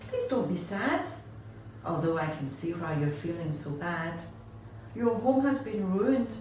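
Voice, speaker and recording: A woman's voice: lowish voice, very animated, a little slow, a little mellow. Woman as a kind storybook animal friend, very echoey. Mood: comforting. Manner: comforting, encouraging. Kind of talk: children's storybook character voice. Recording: echoey room, distant mic, faint noise.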